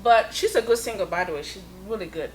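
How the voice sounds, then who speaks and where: A woman talks casually as the guest in an interview and tells her life story in a chatty, laughing way. Her pitch sits in the middle. A faint background noise runs under the recording. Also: quick pace, poor audio. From Europe. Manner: chatty, laughs often, gives shout-outs.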